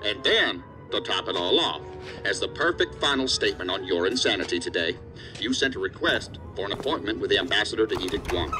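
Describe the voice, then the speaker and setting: An actor playing an angry, stern assistant director in an audio drama, scolding and swearing. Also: male voice, on the low side, expressive, a little fast, over music, close to an everyday voice. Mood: angry, exasperated. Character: angry, hard-bitten male assistant director of investigative agency.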